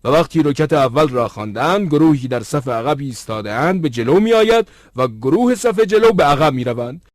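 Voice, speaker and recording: A man playing an earnest religious narrator in an educational cartoon, with formal, bookish, measured delivery. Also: a little high, expressive, no room sound, clean studio sound, lightly acted. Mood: explanatory.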